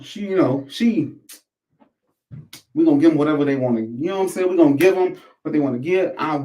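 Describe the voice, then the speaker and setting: A man's voice: on the high side, darkish tone. An American man giving casual, rambling commentary in heavy slang, swearing and repeating phrases for emphasis. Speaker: host of a commentary show. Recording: echoey room, distant microphone.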